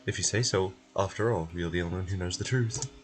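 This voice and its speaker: A man's voice: low-pitched, fast, music in the background, voice pushed down, lightly acted. A man voicing a bitter, sardonic, drawling prisoner in a video game.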